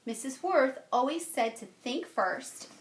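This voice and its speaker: A woman reads a children's story aloud like a teacher to a class. Her voice sits fairly high and moves with lively intonation. The room adds noticeable echo. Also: a little slow. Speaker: teacher reading a picture book aloud. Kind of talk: expressive children's read-aloud. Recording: roomy, echoey home-style recording.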